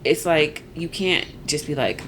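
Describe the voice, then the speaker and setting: A woman in her forties telling stories in a casual podcast chat, animated and laughing, acting out other people's voices. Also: deep voice, unhurried, background noise. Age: 40s. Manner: animated storyteller, acts out voices, laughs often. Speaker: podcast guest.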